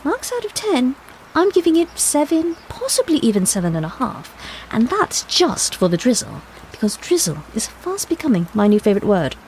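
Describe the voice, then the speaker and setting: A woman's voice: high-pitched, big pitch swings, moderate pace, a little mellow, a little hiss or hum, low-quality recording. A woman playing an eccentric, relentlessly enthusiastic time-traveling doctor in an audio drama, grandiose, playful and wordy. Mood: whimsical, enthusiastic.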